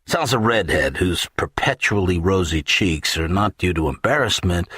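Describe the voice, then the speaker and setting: A man's voice: deep voice, fairly bright tone, slightly rough, dry recording, clean studio sound. A man narrating an audiobook, a scripted first-person comic novel, with a wry, dramatic delivery.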